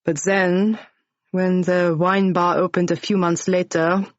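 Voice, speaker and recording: A woman voicing a soft-spoken French older man. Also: lowish voice, little pitch movement, takes it slowly, no room sound, dull audio. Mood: dismissive. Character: genial wealthy bar owner, man in sixties.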